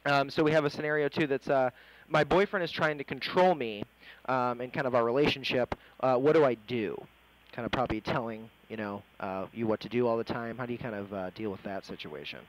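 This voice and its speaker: A man's voice: medium pitch, a little fast, a little hiss or hum, rough recording. An American man working as an interviewer, chatting informally as he reads out questions in a casual interview. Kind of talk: casual phone interview, reading out reader questions.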